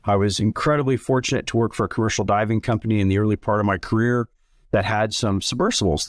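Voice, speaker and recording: A man in his sixties with a fairly low voice talks as an interview guest. He tells his story conversationally, in a measured and earnest manner. The sound is dry and close, free of echo. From Canada. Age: 60s. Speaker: interview guest, submarine company founder.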